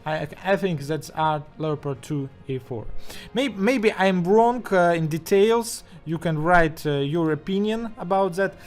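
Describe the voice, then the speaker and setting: A man speaking English with a strong Russian accent, commenting haltingly over footage with many fillers and restarts. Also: sits high, soft background music. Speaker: channel host.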